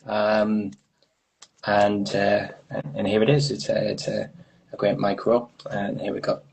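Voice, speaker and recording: A man with a husky voice casually discussing poetry in a tentative, rambling way, often repeating words as he goes. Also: lowish voice, completely flat delivery, measured pace, darkish tone, rough recording. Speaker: host of a live poetry reading.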